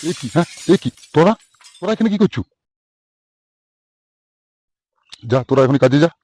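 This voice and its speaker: Man voicing a fast-talking bullying cartoon boss. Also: fairly high pitch, lively intonation, darkish tone, no room sound. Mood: flustered bluster. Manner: brusque, scolding. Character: bullying, lecherous older male boss, foul-mouthed. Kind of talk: Bengali comedy cartoon dub.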